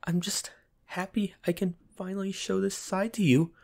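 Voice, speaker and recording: A man playing a shy, flustered, submissive young pop star boyfriend in a roleplay audio, with soft breathy, stammering, hesitant speech. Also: sits high, little pitch movement, normal speed. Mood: bashful, affectionate. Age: young adult. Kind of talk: roleplay ASMR audio acting.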